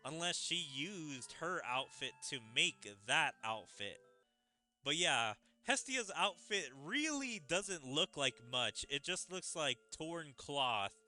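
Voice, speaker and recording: A man's voice: a little high, pushed and bright. A man giving casual commentary on a video game, reacting to the story as he plays. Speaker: gaming video creator playing and reacting to a story event.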